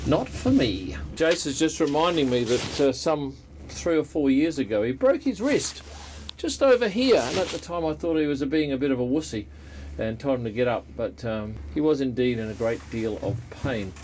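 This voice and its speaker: A man's voice: medium pitch, expressive, normal speed, darkish tone, slight background noise. An Australian man in his sixties giving chatty travel vlog narration. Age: 60s. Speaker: travel vlogger.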